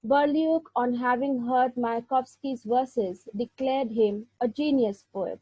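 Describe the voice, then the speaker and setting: A woman giving an illustrated lecture, reading verse aloud and narrating in a measured, deliberate way with occasional slips. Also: a little high, warm tone, husky, dry recording. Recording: dull, lo-fi sound.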